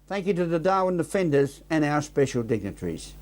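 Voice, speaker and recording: Australian male news presenter, fairly high voice. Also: sing-song, brisk.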